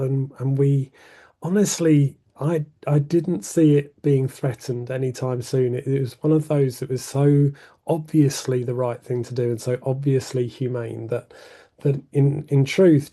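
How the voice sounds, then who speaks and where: Croaky-voiced earnest man. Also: mid-range voice, dark tone. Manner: earnest, repeats words. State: hoarse, croaky voice. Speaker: church public issues officer. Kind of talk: conversational explanation.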